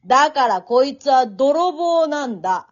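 An amateur actor playing a taunting, loutish little schoolboy bully in a radio drama, rough-mouthed and jeering. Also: high voice, lively intonation, unhurried. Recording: dull, lo-fi audio.

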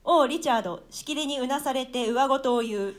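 A woman narrating the stage directions of a play in a plain, measured reading. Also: high-pitched. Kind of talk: scripted narration of a play text.

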